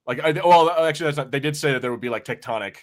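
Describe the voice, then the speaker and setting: A man's voice: on the high side, racing. A man hosting a podcast, chatting informally and loosely as he reviews, often revising himself mid-thought. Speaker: podcast host. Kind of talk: casual review chat.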